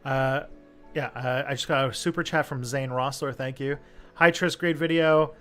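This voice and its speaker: A man from Canada hosting a casual livestream chat, talking loosely with lots of fillers and pauses. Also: mid-range voice, fairly even intonation, a bit bright, faint music behind. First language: English. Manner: chatty, hesitant, many fillers. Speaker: host.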